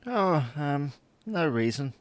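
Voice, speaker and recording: A man's voice: on the high side, big pitch swings, slow pace, no echo. A man playing a grumpy, whining, babyish husband in an audio comedy drama, grouchy and plaintive in manner. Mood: grumpy, sulky.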